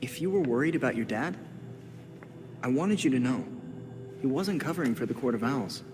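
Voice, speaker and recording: A man's voice: mid-range voice, expressive, normal speed, natural voice. A man playing an earnest, brainy teenage boy vigilante in a game cutscene, reassuring in manner. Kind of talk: video game cutscene acting. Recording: reverberant hall, music behind.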